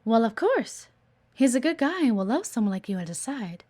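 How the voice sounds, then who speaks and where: A woman's voice: fairly high pitch, sing-song, fairly quick, dark tone. A woman playing a blunt, guarded but earnest executive assistant in an audio roleplay, assertive and exasperated. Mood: persuasive. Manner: exasperated, assertive, frequent sighs. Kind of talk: audio roleplay acting addressed to the listener.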